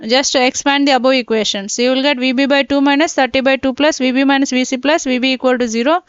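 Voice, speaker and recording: A high-pitched woman teaches an online class step by step, repeating points and checking in with students. Her voice is dry and dull, like lo-fi laptop audio. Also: steady tone, fast, clean tone, no echo. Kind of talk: live online class lecture. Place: indoors, teaching from a computer. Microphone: laptop mic.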